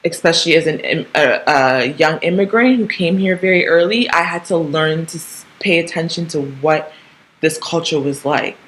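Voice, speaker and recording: A woman's voice: deep voice, moderate pace, a bit bright, low-quality recording. Rambling woman in her thirties musing aloud. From Canada. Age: 30s. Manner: rambling, frequent ums and self-corrections, tag questions. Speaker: podcast host. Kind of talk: casual solo monologue.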